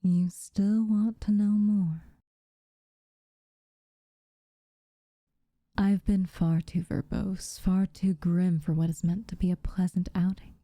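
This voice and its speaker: A woman voicing a guarded, haughty yet secretly tender witch in an ASMR roleplay, softly and breathily, with slow, formal phrasing. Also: fairly low pitch, flat delivery, no echo, clean studio sound. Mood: wry, resolute. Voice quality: soft, breathy, often near-whispered. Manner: slow, formal, measured wording with reluctant warmth.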